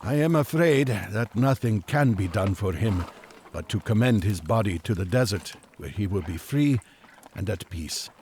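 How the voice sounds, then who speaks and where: An actor playing an earnest, devout Magian traveler on an urgent quest, speaking in solemn, formal, old-fashioned language for an audio drama. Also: male voice, fairly low pitch, animated, normal speed, darkish tone, slight background noise, close to an everyday voice.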